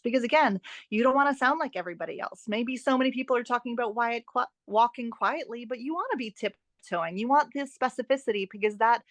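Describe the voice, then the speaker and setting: A woman's voice: a little high, dry recording. A woman guest on an interview, an author and editing expert explaining writing tips conversationally, with an emphatic, instructive manner.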